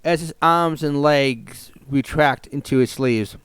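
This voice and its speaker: A man talking casually to himself as he writes a script, in a halting, muttering way. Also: a little high, fairly bright tone, clean tone. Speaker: amateur scriptwriter working on camera or mic. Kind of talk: casual thinking aloud while writing a script.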